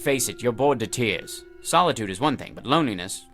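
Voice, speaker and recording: Breezy, needling alter ego, lively pitch. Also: male voice, fairly quick, faint music behind. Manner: sardonic, chummy, goading. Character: conjured male double, breezy, needling, wisecracking alter ego. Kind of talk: theatrical audio drama acting.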